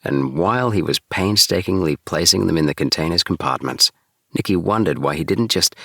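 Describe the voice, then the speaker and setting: A man with a very deep voice narrating an audiobook. He reads calmly and steadily. The sound is bright and dry, close to the mic. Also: no room sound. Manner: calm, steady. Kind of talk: audiobook reading.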